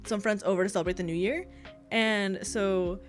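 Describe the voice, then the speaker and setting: A young woman chats with her stream audience, a bit stuffed-up and low on energy. She rambles from story to story and giggles often, her voice sitting at an ordinary middle pitch. Soft music plays faintly behind her. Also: steady tone, moderate pace. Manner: rambling, giggly, chatty with viewers. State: congested. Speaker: VTuber streamer. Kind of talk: casual livestream chat.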